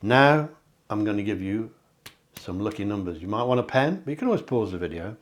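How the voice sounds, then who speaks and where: A man, a psychic hosting a card reading, talking in a chatty, encouraging way that often corrects itself, his voice a little hoarse. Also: on the low side, sing-song, brisk. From England. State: slightly hoarse, clearing throat. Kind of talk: tarot-style card reading to camera. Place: home near the sea.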